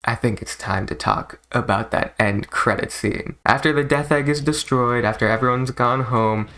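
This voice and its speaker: A man's voice: medium pitch, fairly bright tone. A man giving a casual, rambling movie review in an informal, enthusiastic, sweary way, like a YouTuber. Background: video game soundtrack music.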